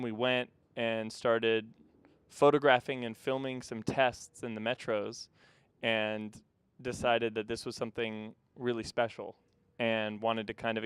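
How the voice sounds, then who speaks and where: A man from California explains his film project in a measured, explanatory way, with a few fillers. His voice is smooth and resonant. The recording is dry, with almost no room sound. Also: medium pitch, level tone, a bit bright, dry recording. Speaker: filmmaker. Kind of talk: casual interview answers.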